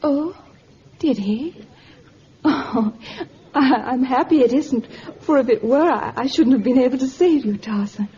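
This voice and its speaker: A woman's voice: high voice, measured pace, warm tone. An actress playing a tender, lovestruck young woman with a hesitant, pleading manner in a vintage radio drama. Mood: tender, shy. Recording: muffled, noisy old radio recording.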